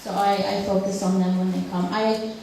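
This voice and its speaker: A woman's voice: medium pitch, little pitch movement, normal speed, dark tone, a little hiss or hum. A woman from Ukraine, a drawing teacher answering audience questions at a public talk, speaking hesitantly with many fillers and restarts. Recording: echoey hall, speaker far from mic.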